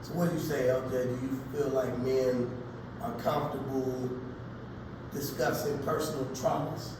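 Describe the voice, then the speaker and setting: A man hosting a panel discussion, asking questions in a measured, earnest way. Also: mid-range voice, steady tone. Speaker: host. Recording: echoey room, distant mic, background noise.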